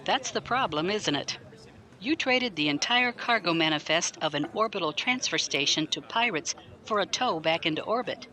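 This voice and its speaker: A man's voice: high-pitched, expressive, normal speed, bright, forceful tone. A man acting a probing, blunt military defense lawyer in a science fiction audio drama, pointed, articulate and wryly skeptical. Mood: stern, warning.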